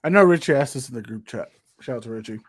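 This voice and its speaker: A man's voice: medium pitch, expressive, fast, breathy edge. A man on a casual sports panel, making his case in an exasperated, pointed way with rhetorical questions.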